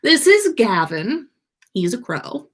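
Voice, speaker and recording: A good-humored young wizard man in a storybook, as a woman reads him. He sounds sweet, teasing and proud, at an unhurried pace with lively swings in pitch. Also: full character voice. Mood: playful.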